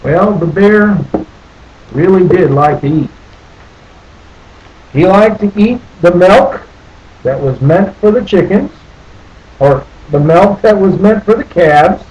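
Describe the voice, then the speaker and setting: A man reading a children's picture book aloud in a folksy, playful, drawn-out way with little asides. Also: high voice, animated, darkish tone, muffled recording. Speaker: storybook reader.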